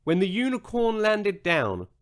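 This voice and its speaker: A man narrating a children's bedtime story in a measured, expressive storytelling manner. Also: sits high. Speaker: audiobook narrator.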